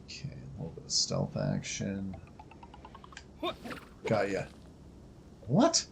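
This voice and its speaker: A man's voice: deep voice, wildly swooping pitch, takes it slowly, creaky, whispered. A man giving casual, colloquial gameplay commentary, reacting and muttering to himself as he plays. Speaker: game streamer. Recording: faint game music behind the voice.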